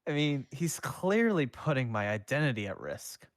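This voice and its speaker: A man's voice: mid-range voice, sing-song, measured pace, dry recording, clean studio sound, voice pushed up. A man voicing an anxious teenage half-ghost hero who talks in a fretful, exasperated way, in a fan storybook reading. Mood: incredulous.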